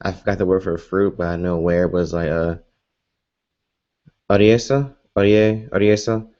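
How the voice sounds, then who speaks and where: A man's voice: deep voice, normal speed, dark tone, highs cut off. A young American man chatting casually in a live Q&A vlog, rambling with many fillers and restarts. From United States. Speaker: vlogger.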